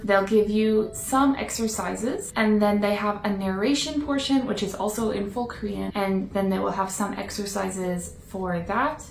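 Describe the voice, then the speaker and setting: A woman talks straight to camera in a relaxed, self-deprecating way. Her voice is mid-pitched with a gravelly edge. The room echoes, and soft music plays underneath. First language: English. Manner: chatty, self-deprecating, laughs now and then. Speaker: vlogger. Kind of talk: casual talking-head vlog. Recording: roomy echo. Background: faint background music.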